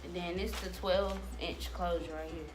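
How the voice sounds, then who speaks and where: A woman's voice: lowish voice, fairly even intonation, normal speed, music in the background, low-quality recording. A young American woman chatting casually to camera as a vlogger, in a loose, slangy, rambling way. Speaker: vlogger giving a wig-making tutorial.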